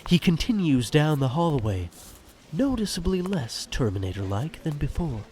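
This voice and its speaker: Male narrator for a scripted podcast drama, slightly high voice, very lively intonation, faint music. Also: normal speed.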